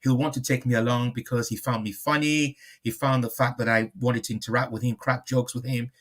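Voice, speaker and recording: A man's voice: mid-range voice, flat delivery, a little fast, a bit bright. Reflective man talking in an interview. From born in Liverpool, moved to Nigeria at five. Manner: repeats and rephrases phrases as he reflects. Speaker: comedian, interview guest.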